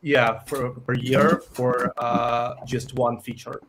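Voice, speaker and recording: A man's voice: mid-range voice, level tone, background noise. Hesitant man with a Ukrainian accent. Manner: halting, many fillers and pauses. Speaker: software company founder. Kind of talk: live interview answers.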